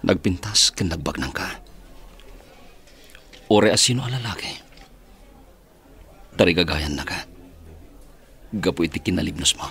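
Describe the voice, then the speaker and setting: A man with an Ilocano Filipino accent playing an insistent, flattering police chief in a radio drama. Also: low-pitched, a little slow, vocal fry, pauses often, breathy edge, full character voice. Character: insistent male police chief courting an unwilling woman.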